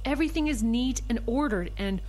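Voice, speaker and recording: Actress as excitable comedy woman, high voice, very animated pitch, gushing, teasing, dramatic. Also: fairly quick. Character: excitable, romantically minded woman snooping on a man. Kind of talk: comedic audio drama acting.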